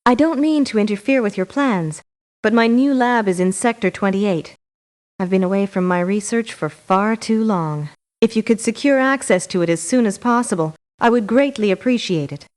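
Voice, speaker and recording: An actress playing an earnest, polite woman scientist in a game, giving formal, measured briefings. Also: mid-range voice, darkish tone, natural voice. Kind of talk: scripted game voice acting, briefing.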